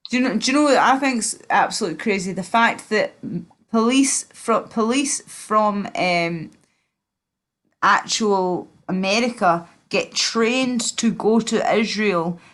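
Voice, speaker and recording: A woman's voice: medium pitch, measured pace, fairly bright tone. Glaswegian woman chatting bluntly. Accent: Glaswegian Scottish. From Scotland. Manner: rambling, blunt, swears freely. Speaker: livestream host. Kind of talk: casual livestream chat.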